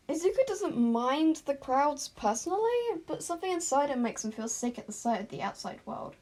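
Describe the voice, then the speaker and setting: High-pitched woman reading fan fiction. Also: a little mellow. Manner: informal, self-conscious, often stumbles and re-says lines. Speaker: amateur podfic narrator. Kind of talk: narrating fan fiction, with informal asides and retakes.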